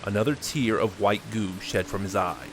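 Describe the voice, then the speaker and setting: A man narrating a fan-made audio drama in a steady storytelling manner. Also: on the low side, moderate pace, background noise. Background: music.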